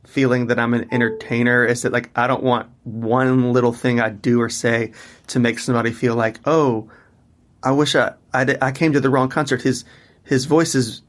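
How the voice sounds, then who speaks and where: Man, 30s-40s, musician guest, casual and rambly, flat intonation. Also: mid-range voice, normal speed. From Mississippi, US. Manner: loose and chatty, many fillers and restarts. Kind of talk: casual interview conversation.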